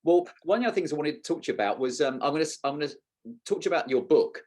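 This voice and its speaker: A man's voice: a little high, expressive, very fast, darkish tone. A man hosting a podcast, putting questions in an informal, conversational and slightly rambling way. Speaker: podcast host, interviewer. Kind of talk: casual conversational interviewing.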